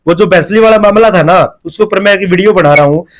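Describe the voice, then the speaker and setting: A man's voice: high voice, fast, a little hiss or hum. A man, a video reporter who questions callers on the phone and keeps repeating affirmations. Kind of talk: phone interview.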